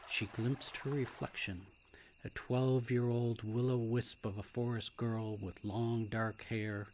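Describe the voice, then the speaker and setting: A man reading a novel aloud as a dramatic narrator, in a hushed, deliberate and suspenseful way that at times nears a whisper. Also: medium pitch, a little hiss or hum. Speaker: author reading own novel. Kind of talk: dramatic audiobook-style story narration.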